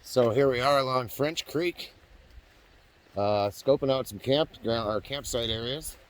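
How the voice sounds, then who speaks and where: A man narrating his backpacking trip to the camera outdoors on a trail, chatty and self-deprecating, his voice going hoarse. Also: mid-range voice, a little slow. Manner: chatty, self-deprecating, addresses viewers directly. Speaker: backpacking vlogger. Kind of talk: casual vlog narration on the trail. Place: outdoors on a hiking trail by a creek.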